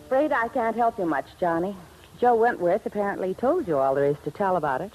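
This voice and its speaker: A woman's voice: mid-range voice, lively intonation, a little fast, a little mellow, soft background music, natural voice, poor audio. A woman playing a cool, hard, money-minded glamour girl in 1950s radio noir, offhand and unsentimental.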